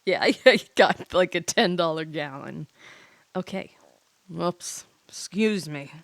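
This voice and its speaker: Giggly tipsy girl streaming a game, slow chatty pace, bit echoey w faint music. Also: on the low side, vocal fry. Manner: giggly, easily amused, breaks off to react. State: tipsy, drinking wine. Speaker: streamer, virtual YouTuber playing a game live. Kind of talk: casual game stream chat with chat replies. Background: faint background music.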